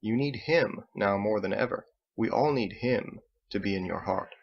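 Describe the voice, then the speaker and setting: A man's voice: lowish voice, normal speed, creaky, muffled recording, slight background noise. A man playing an effusive, pious elderly father and tavern keeper, wheedling and full of exclamations, in a read-aloud story. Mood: earnest.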